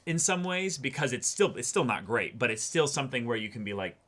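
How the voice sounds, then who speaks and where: A man's voice: medium pitch, sing-song, fairly quick, fairly bright tone. A man co-hosting a casual anime discussion, repeating phrases and acting out imagined quotes as he talks. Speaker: co-host of an anime reaction show.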